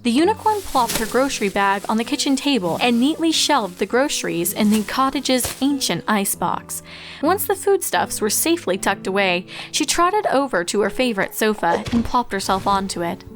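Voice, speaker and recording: A woman with a fairly high-pitched voice narrates a piece of fiction. She reads from a script as a storyteller, setting scenes between lines of dialogue. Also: big pitch swings, normal speed, faint music behind.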